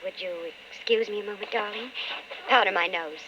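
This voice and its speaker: An actress playing an anguished, calculating wife of an alcoholic in an old-time radio drama, with intense, melodramatic delivery. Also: female voice, mid-range voice, brisk, sharp tone, dull audio, slight background noise, natural voice. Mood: subdued.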